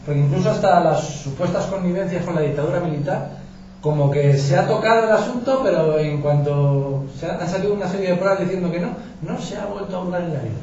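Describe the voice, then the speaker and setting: A journalist from giving an informal talk to an audience, colloquial and anecdotal, with frequent restarts. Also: male voice, on the high side, a little mellow. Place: meeting room with audience. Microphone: distant room mic. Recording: echoey, distant, dull with noise.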